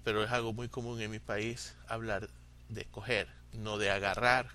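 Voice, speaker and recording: A man from coastal Ecuador, speaking with a coastal Ecuadorian accent, leaves a casual recorded message for a podcast host in a halting, unhurried way. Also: lowish voice, little pitch movement, bright, forceful tone, smooth. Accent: coastal Ecuadorian Spanish. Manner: halting and unhurried, pausing often to find words. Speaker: listener sending a recorded message.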